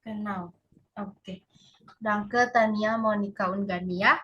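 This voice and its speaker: A woman's voice: medium pitch, fairly even intonation, slow, sharp tone, breathy edge, lo-fi sound. A woman teaching a beginners' German class over a video call, patiently repeating and checking her students' answers. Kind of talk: online class instruction.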